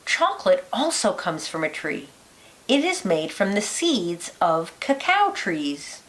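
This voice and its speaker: A woman reading a children's nonfiction picture book aloud like a teacher, with deliberate, lilting emphasis. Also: mid-range voice, sing-song.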